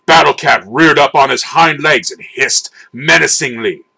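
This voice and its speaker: Bright, forceful male storybook narrator. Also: fairly high pitch, vocal fry, husky. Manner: theatrical, deliberate. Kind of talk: dramatic scripted storybook narration.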